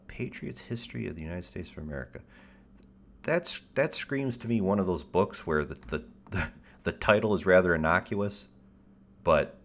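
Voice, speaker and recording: Guy hosting his stream, super deep radio voice, rambly and self-deprecating, swears now and then. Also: gravelly. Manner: wry, swears casually. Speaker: livestream host. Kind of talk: casual livestream chat.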